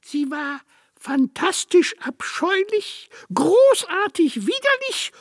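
A woman's voice: extremely high pitch, very animated, slow pace, no echo, studio quality, exaggerated voice. A woman playing a small, boastful, cheeky male ghost in a children's audio drama, pompous and playful. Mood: smug. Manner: pompous, self-satisfied, playful.

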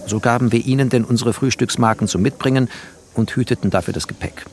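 An actor playing a bookish, wry narrator, speaking in clipped poetic phrases in a literary radio play. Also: male voice, mid-range voice, quick pace, natural voice. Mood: lyrical, intimate. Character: bookish, wry, lyrical male narrator, a resettler.

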